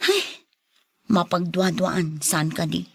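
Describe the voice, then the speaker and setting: A woman's voice: on the low side, slow, vocal fry, slightly rough, exaggerated voice. A woman with an Ilocano accent playing a coy young woman in a radio drama, often drawing out her interjections. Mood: confiding. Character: Claire, coy young woman being courted. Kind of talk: Filipino radio drama acting.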